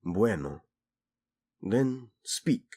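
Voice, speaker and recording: Courtly prince, male voice actor: slow, husky, imperious yet teasing, romantic audio drama over music. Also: on the low side, expressive, dark tone, full character voice. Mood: courteous, measured. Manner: formal, deliberate speech sprinkled with Spanish. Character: haughty, teasing Spanish-speaking fantasy prince, courtly and imperious. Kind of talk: scripted romantic audio roleplay.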